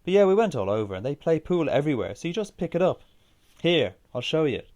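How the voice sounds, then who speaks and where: A man's voice: on the high side, big pitch swings, quick pace, darkish tone, voice pushed up. A man voicing a cool, confident young woman in a short story, talking casually. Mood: breezy, confident. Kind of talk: short story character dialogue.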